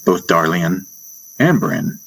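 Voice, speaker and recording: A man narrating a first-person fantasy audiobook in deliberate, dramatic short phrases. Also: deep voice, big pitch swings, a bit bright, poor audio. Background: music.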